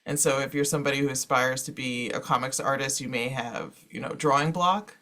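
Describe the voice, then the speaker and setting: A man presenting informally to an online panel as a moderator, explaining things in a halting, conversational way. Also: medium pitch, fairly even intonation, pushed and bright. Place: online panel, speaking over slides.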